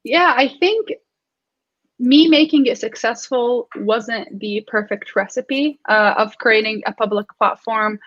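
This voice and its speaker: A woman's voice: fairly high pitch, slow pace, fairly bright tone, dry recording, highs cut off. A young woman from Iraq with an Iraqi Arabic accent, earnestly telling her story as a panelist in an online panel. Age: young adult. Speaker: panelist, science communicator.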